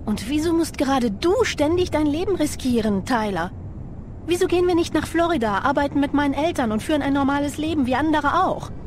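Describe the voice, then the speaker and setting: A woman's voice: sits high, lively intonation, fairly quick, slight background noise. An actress playing a loving, anxious young girlfriend in an audio drama. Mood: anxious, pleading.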